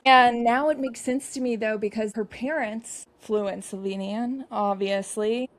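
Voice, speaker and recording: A woman co-hosting a comedy podcast, talking in a chatty, sarcastic way with quick asides. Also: fairly high pitch, steady tone. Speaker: podcast co-host. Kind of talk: comedic casual chat.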